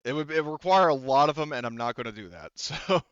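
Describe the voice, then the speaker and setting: A man narrating as game master in a casual, halting, informal way, thinking aloud as he goes. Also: on the high side, expressive, fairly bright tone, clean tone, no echo, lo-fi sound.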